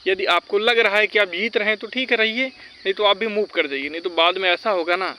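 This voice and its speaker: High-pitched man, insistent. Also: a little fast, bright, forceful tone, a little hiss or hum. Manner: insistent, cautionary, repeats points. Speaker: exam-advice video creator. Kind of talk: informal advisory talk to students.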